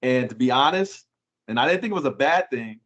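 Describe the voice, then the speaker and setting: A man's voice: mid-range voice, expressive, fairly quick, a bit bright. A middle-aged man moderating a live online panel, upbeat and informal, with casual asides as he passes questions to the panelists. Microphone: video call.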